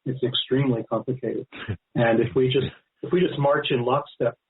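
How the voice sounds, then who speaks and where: A man's voice: medium pitch, steady tone, normal speed, slight background noise. Phone-line man explaining emphatically. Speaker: guest author. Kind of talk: radio interview, extended explanation. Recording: narrow-band phone-like line.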